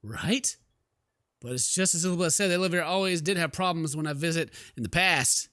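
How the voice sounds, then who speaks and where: A man's voice: fairly high pitch, fairly quick, bright, forceful tone, no room sound. A man doing playful, theatrical let's play commentary, exclaiming and reading game text aloud into a close mic. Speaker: gaming video host. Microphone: close microphone at a desk. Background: faint game music.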